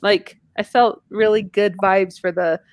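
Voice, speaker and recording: A woman's voice: mid-range voice, fairly even intonation, unhurried, no room sound. Woman chatting casually, dry close sound. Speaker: podcast co-host. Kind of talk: casual group chat about video games.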